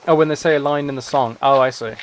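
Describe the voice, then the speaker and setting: Man, mid-pitched voice, tired, casual livestream chat, rambling, self-interrupting, clicks and background noise. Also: fairly even intonation, fast talker. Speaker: livestreamer and musician.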